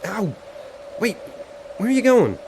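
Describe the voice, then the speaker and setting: An actor playing a frustrated, defensive boyfriend in a naturalistic audio horror drama. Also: male voice, high-pitched, very animated, a little slow, loud music behind the voice. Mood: startled, brisk. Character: frustrated boyfriend tied to an unfinished house, defensive.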